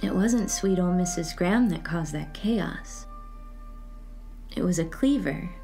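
A woman acting a mellow, cryptic, eerie believer. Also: lowish voice, faint music behind. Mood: quietly certain. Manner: measured, cryptic, solemn delivery. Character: eerie, secretive woman who believes in spirits. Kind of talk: audio drama acting.

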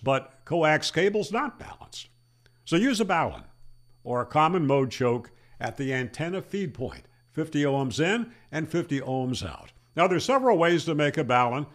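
Man in his sixties or older, animated intonation, slightly high pitch, emphatic tutorial host, close desk mic. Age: older, over 60. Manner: emphatic, with rhetorical questions and deliberate repeats. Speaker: amateur radio channel host. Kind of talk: explanatory tutorial to camera. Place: small plain room indoors. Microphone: desk microphone on boom arm, close.

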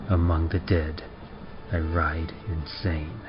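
A man giving a grave, measured, theatrical reading of rhymed horror verse in the manner of old-time radio. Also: very deep voice, steady tone. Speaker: podcast presenter reading a horror poem. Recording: dull lo-fi sound under loud music. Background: music.

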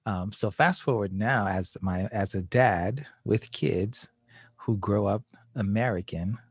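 A man's voice: fairly low pitch, takes it slowly, slightly rough, no echo, clean studio sound. A middle-aged man hosting a casual podcast, telling stories animatedly and acting out quotes with laughing asides. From Haiti. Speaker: podcast host, social worker.

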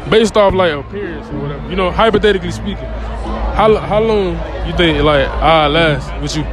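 Playful young man interviewing on a loud street. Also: a little high, fairly quick, background noise. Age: young adult. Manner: playful, boastful, repeats phrases. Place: busy nightlife street outdoors. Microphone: handheld mic. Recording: loud music and crowd behind. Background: music and crowd chatter.

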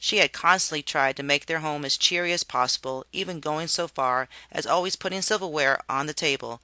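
A storybook reader giving an expressive read-aloud narration for children. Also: medium pitch, a little fast, no echo.

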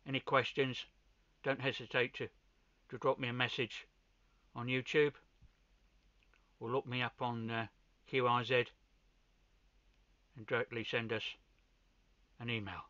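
A man's voice: mid-range voice, little pitch movement, measured pace, pauses often, sharp tone, no room sound. A man giving a casual, unscripted equipment walkthrough as a hobbyist, often hesitating and repeating his words. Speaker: amateur radio hobbyist presenting a demo. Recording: dull, lo-fi sound.

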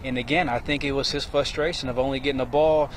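A man in his thirties, a former player, giving measured, reflective analysis on live TV from an outdoor stadium at night into a handheld mic. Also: medium pitch, fairly even intonation. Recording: faint stadium noise outdoors.

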